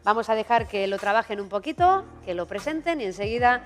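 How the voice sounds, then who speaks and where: A woman's voice: fairly high pitch, expressive, brisk, in a large hall, soft background music. A woman hosting a live cooking contest, upbeat and chatty, slipping in phrases. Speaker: contest presenter. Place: auditorium stage.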